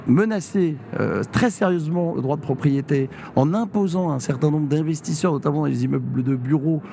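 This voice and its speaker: A man's voice: a little high, brisk, gravelly, dark tone, slight background noise. A man, a district mayor from Normandy, answering in a radio interview, hesitant with many fillers but firmly emphatic. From Normandy, France.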